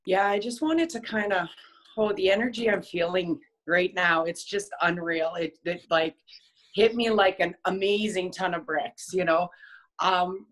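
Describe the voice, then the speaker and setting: A woman's voice: mid-range voice, low-quality recording. A woman casually sharing personal, motivational reflections with a group in a candid, conversational way.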